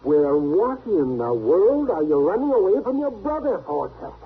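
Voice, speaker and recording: A man's voice: sits high, very animated, normal speed. An actor playing a wry, needling male frontier town doctor in an old radio western. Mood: puzzled. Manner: sardonic, needling. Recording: noisy, tinny old radio recording.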